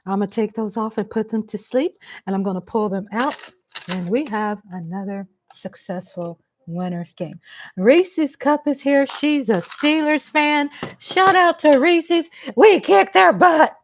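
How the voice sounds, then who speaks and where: A woman's voice: on the high side, a little slow, slight background noise. A woman from the American South with a Texas accent, hosting a casual live chat stream from home in a playful, teasing way.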